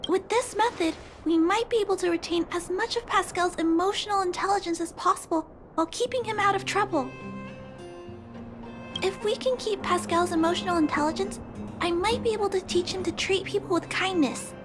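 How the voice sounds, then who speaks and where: A very high-voiced earnest girl. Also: brisk. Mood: pleading. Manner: soft, sincere, a little hesitant. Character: earnest, kind-hearted young girl. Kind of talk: game cutscene voice acting. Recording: studio dub with music underneath.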